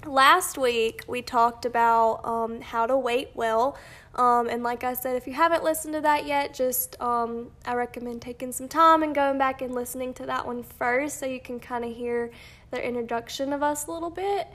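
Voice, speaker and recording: A woman's voice: high voice, fairly even intonation, moderate pace, a bit bright. A young woman in college co-hosting a faith podcast, chatting casually with a stuffy, nasal voice and frequent giggles. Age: young adult. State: nasal, congested from sinuses. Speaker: podcast co-host.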